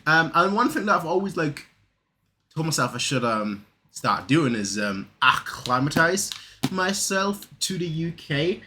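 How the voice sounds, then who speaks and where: A man's voice: a little high, big pitch swings, measured pace, background noise. A man of twenty-eight with a northern English accent mixed with a German trace, chatting casually with many fillers and restarts as a reaction video host. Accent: northern English, Manchester and Lincoln, with a German trace. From south Germany.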